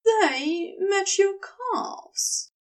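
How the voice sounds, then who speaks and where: A woman's voice: very high voice, animated, drawn-out words, recorded from a distance, pitched above the performer's own voice, full character voice. A woman voicing a big, stocky, self-assured man in an audio story, teasing and commanding.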